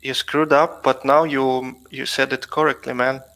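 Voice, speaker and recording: A man's voice: mid-range voice, level tone, normal speed, fairly bright tone, rough recording. A man from Russia, a guest on a video call, speaking casually with hesitant fillers and dry humor. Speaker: guest, plugin developer.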